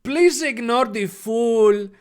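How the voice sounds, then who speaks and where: Performer putting on a smug teenage bully with mock Mexican accent, pitched up. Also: takes it slowly, smooth, natural voice. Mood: smug, scornful. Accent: exaggerated mock Mexican. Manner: smug, drawling.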